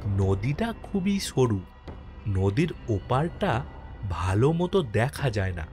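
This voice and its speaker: A man playing an easygoing village postmaster who narrates his own ghost story in an expressive, dramatic way for a horror cartoon. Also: mid-range voice, takes it slowly. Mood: uneasy, suspenseful. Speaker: first-person narrator and lead character. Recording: music under the voice.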